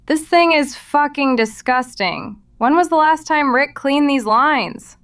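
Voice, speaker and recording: Audio drama role: sardonic woman clerk, played by an actress, fairly high voice, slightly off-mic, foul-mouthed and blunt. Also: unhurried, fairly bright tone, recorded from a distance. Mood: disgusted. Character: blunt, jaded, foul-mouthed female gas station clerk.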